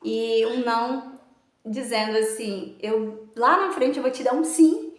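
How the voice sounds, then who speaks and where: A woman speaks slowly and earnestly, reflecting on her own story of faith. She pauses often and fills the gaps with small words. Her voice sits a little high and rings in an echoey room. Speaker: motivational speaker. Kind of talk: personal testimony to camera.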